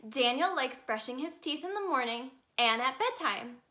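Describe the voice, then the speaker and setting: High, bright-voiced woman reading to kids. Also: moderate pace. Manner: expressive, sing-song, addressing young children. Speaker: storyteller in character as the Tooth Fairy. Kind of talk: animated children's picture-book read-aloud.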